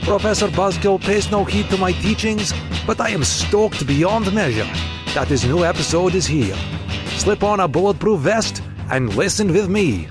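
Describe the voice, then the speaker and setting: A man's voice: high-pitched, expressive, normal speed. A man playing a theatrical mystic monk from Tsarist Russia in a scripted comic introduction. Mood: eager. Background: loud guitar music.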